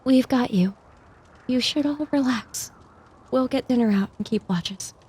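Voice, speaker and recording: A woman's voice: a little high, a little slow, darkish tone, husky. A woman voicing an anxious, caring young pony mare, earnest and hard on herself, in a wasteland audio drama. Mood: reassuring.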